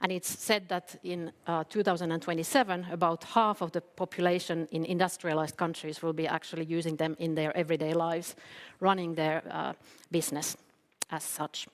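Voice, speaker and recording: A woman's voice: deep voice, flat delivery, moderate pace. A woman from Finland giving a conference talk, hesitant, with many fillers and restarts. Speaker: keynote speaker. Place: event hall. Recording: long hall reverb.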